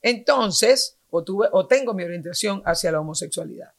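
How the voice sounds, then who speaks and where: A Venezuelan woman in her forties, speaking as a guest in a casual conversation. Her mid-pitched voice has a gravelly edge, and she explains her points earnestly. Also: expressive, normal speed. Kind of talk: casual chat.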